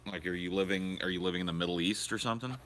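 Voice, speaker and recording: A man's voice: low voice, fairly even intonation, rapid-fire, fairly bright tone, a little hiss or hum. A young man hosting a casual livestream, rambling crudely and answering his viewers' questions aloud on a portable camera. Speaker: livestream host. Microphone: portable camera or phone carried around.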